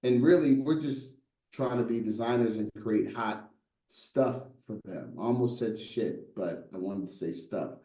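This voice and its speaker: Animated storytelling man, mellow tone. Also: on the low side, unhurried, roomy sound, rough recording. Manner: animated storyteller, rhetorical "right?" and asides. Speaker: guest, brand designer. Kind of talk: casual interview chat.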